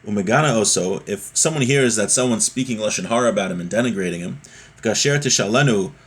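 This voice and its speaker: A man with a slightly husky voice giving a religious text lesson, reading aloud and explaining, repeating and rephrasing points for emphasis. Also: on the low side, animated, quick pace. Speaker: religious teacher giving a class.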